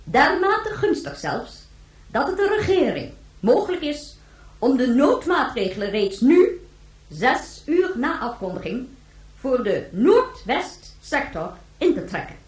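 Casting: government announcer, radio play; high-pitched, formal, emphatic, very echoey. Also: female voice, sing-song. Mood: formal, proclaiming. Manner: stately, emphatic, measured phrases.

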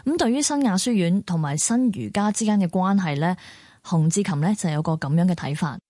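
A woman hosts and narrates a documentary program. She explains clearly and leads in with rhetorical questions. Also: fairly low pitch, expressive, fairly quick, background noise.

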